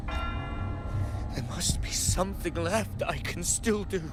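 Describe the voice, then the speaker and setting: A man's voice: sits high, slow pace, loud music behind the voice. An actor playing a tormented, defiant man in a game, speaking with strained drama. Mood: desperate resolve. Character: tormented man fighting maddening inner whispers, defiant.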